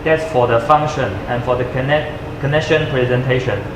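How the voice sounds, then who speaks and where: A man who speaks English as a second language gives an unscripted product demonstration, haltingly searching for words with uneven grammar. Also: medium pitch, steady tone. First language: not English. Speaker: product presenter. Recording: echoey room with clear background noise.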